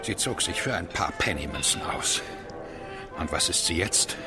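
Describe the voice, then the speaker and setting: An actor playing a boastful, lecherous, wealthy impresario with a smug manner in a radio drama. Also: male voice, deep voice, lively intonation, normal speed, over music. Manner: smug, self-satisfied. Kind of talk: theatrical radio drama acting.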